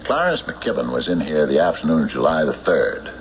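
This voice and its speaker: An actor playing a stolid, skeptical sheriff in an old radio drama, speaking in a formal, deliberate, official manner. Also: male voice, very deep voice, big pitch swings. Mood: probing. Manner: official, deliberate, hesitant questioning. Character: stolid, methodical male county sheriff, formal and doggedly skeptical. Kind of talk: old-time radio drama acting with police-report narration. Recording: noisy, muffled vintage radio recording.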